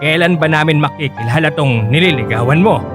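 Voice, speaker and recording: A man's voice: a little high, a little fast, over loud music, close to an everyday voice. A man playing a middle-aged father of grown children, a nudging family man, in a Filipino radio drama. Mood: teasing, eager.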